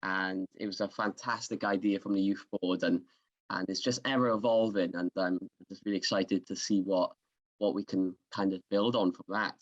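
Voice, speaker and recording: A man's voice: deep voice, fairly even intonation, moderate pace, lo-fi sound. A man with a Swansea Welsh accent, the podcast host, talking in an upbeat, chatty way during an interview. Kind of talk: conversational interview.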